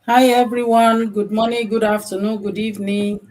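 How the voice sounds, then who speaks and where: A woman's voice: fairly high pitch, flat delivery, normal speed, darkish tone. A woman with a Nigerian accent introducing herself to an online audience as a mentor and business owner.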